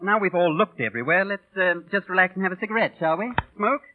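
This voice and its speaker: Man playing a suave, high-voiced gentleman songwriter. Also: quick pace, natural voice. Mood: smooth, placating. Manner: polite, suave, lightly amused. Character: courteous, easygoing well-to-do gentleman songwriter. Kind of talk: 1930s-40s radio drama acting. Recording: tinny, band-limited vintage radio broadcast.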